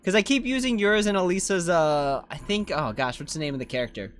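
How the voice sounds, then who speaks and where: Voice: mid-range voice, animated, brisk. A game streamer giving casual live commentary in an informal, self-questioning way. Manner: informal, self-questioning, often ends with "right?".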